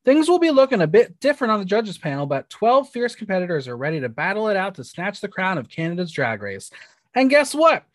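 Podcast host, male, slightly high voice, dry close mic, upbeat and chatty. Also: big pitch swings, fairly quick, no room sound. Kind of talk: casual podcast chat.

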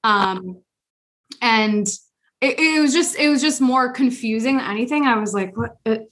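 A woman's voice: fairly high pitch, normal speed, fairly bright tone. A young woman hosting a podcast, talking casually and openly, with lots of filler words. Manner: chatty, frequent "like" fillers. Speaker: podcast host. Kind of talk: casual chat.

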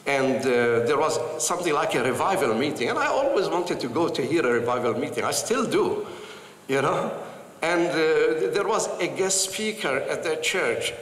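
An older man from Palestine tells his own stories to a crowd, his slightly high voice rising and falling in lively swings. He speaks earnestly, pausing often. The sound carries the long echo of a big hall. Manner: earnest, animated storytelling with frequent pauses. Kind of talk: personal storytelling talk. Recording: echoey hall with light ambience.

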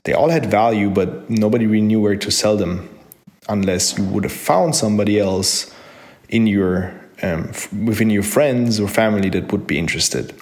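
A man's voice: on the low side, darkish tone. A man, an online entrepreneur telling his own story in an earnest, enthusiastic way with frequent fillers. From Austria. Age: 30s. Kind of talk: personal story told to camera.

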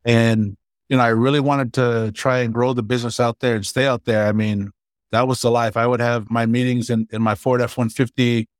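A man in his forties from Hawaii, a startup founder chatting casually and warmly about his life as an interview guest. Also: medium pitch, little pitch movement, brisk, dry recording, clean studio sound.

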